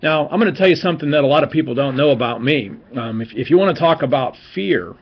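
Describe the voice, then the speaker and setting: A man's voice: on the high side, expressive, fast talker, husky, dull audio. A man in his forties, a seminary professor, giving an informal, encouraging recorded class talk with many fillers and restarts. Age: 40s. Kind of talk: recorded online class lecture.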